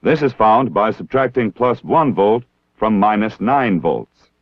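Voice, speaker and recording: An American man narrating a scripted training film in a formal, measured, precisely enunciated way. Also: lowish voice, expressive, no room sound. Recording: dull 1960s film soundtrack.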